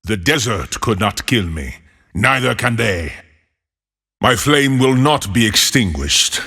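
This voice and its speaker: An actor playing a fiery exiled male desert wanderer for a game, with a gravelly, husky voice and a slow, solemn delivery with dry wit. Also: fairly low pitch, lively intonation, sharp tone, long reverb tail, heavily acted. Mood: defiant. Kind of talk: game voice lines.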